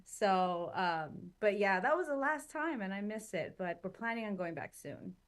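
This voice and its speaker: A woman's voice: mid-range voice, expressive, moderate pace. A woman born in Chile and raised in Southern California, chatting animatedly as an interview guest, with many fillers. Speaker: guest actress. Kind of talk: casual interview chat.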